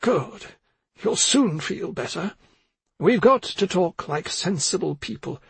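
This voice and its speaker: A man voicing an elderly woman doctor in an audiobook, frail and calculating, speaking in a measured, polite and evasive way. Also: sits high, expressive, gravelly, breathy edge, dry recording, voice pushed up, exaggerated voice. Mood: authoritative, soothing. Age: older. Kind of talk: audiobook character dialogue.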